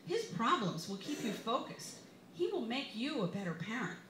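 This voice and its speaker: A woman voicing an earnest, gently insistent mother who gives advice in a careful, soothing, preachy way during a live memoir reading. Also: fairly high pitch, big pitch swings, normal speed. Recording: roomy, echoey bar with background noise.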